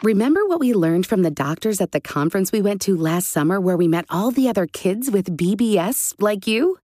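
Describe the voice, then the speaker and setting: Storybook mom, played by a woman: patient, reassuring, mid-pitched with expressive melody, dry close recording. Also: brisk, no room sound, natural voice. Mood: explaining. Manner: calm, reassuring. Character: patient, caring mother explaining things calmly.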